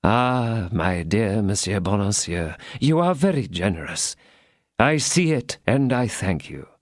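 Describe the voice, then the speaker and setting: A scheming older cardinal talks in a smooth, measured, falsely fatherly manner, as a man plays him. The voice is lively in pitch and the recording is dry and close. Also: no echo. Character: scheming, cold-hearted older male cardinal.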